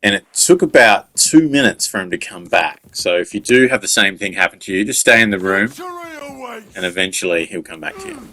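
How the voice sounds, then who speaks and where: A man's voice: deep voice, very animated, fairly quick, bright, forceful tone, low-quality recording. A man giving casual gameplay commentary as a guide creator. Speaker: video game guide creator.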